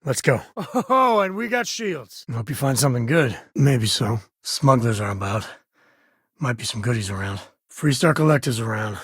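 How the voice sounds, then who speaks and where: A man playing an easygoing, wry space-faring rogue and protective father in a video game, with a husky, rough-edged voice and a casual, folksy manner. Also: medium pitch, lively intonation, normal speed, a bit bright, no room sound, clean studio sound. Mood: alert, businesslike. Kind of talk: video game character voice lines.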